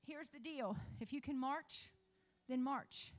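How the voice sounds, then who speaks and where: A woman pastor preaching a sermon in a repetitive, rising cadence that builds toward shouts. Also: high-pitched, slow pace.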